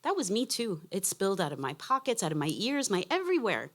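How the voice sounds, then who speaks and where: A woman's voice: medium pitch, huge pitch swings, fast, fairly bright tone. A woman in her fifties reading a personal essay aloud with emotional, emphatic phrasing. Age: 50s. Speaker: essayist reading own work.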